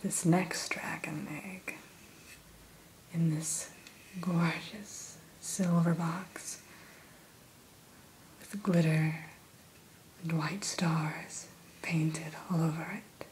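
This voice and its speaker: Brief: woman, low-ish whisper, very slow, gentle shopkeeper-like ASMR guide, close mic. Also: little pitch movement, a little hiss or hum, poor audio. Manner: soft whisper throughout; gentle, unhurried, mildly formal. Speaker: hatchery keeper in roleplay. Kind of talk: ASMR roleplay.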